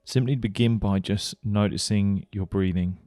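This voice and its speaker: A man leading a guided meditation, giving gentle, unhurried instructions with pauses. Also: fairly low pitch, steady tone, no echo, studio quality. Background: music.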